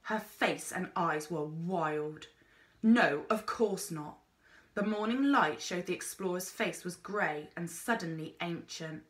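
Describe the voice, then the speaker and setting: A woman reading a children's story aloud in an expressive narrating style, like a teacher. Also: on the low side, measured pace, few pauses, reverberant.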